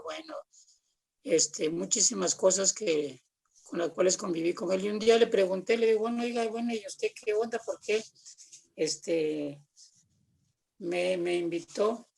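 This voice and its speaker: Mexican man, seventies, chatty storyteller as interview guest, gravelly husky voice. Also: high-pitched. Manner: chatty storytelling with acted-out quotes. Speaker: guest theatre practitioner. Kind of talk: casual anecdotal interview talk.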